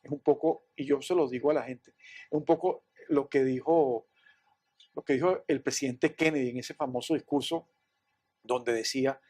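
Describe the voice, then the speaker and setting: A man with a Venezuelan accent answering interview questions in an earnest, halting way, often repeating words. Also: medium pitch, a little mellow. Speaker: interview guest, businessman.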